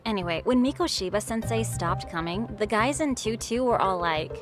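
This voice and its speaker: A woman voicing an easygoing, gossipy high school girl in a video game dub, casual and chatty. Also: lively intonation, normal speed, music in the background, lightly acted.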